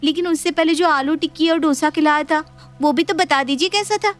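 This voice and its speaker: Young cartoon bride of the house, acted by a woman: dutiful yet huffy, high voice over music. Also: fairly quick, close to an everyday voice. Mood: indignant, huffy. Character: dutiful but huffy young daughter-in-law. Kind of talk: animated Hindi moral-story cartoon.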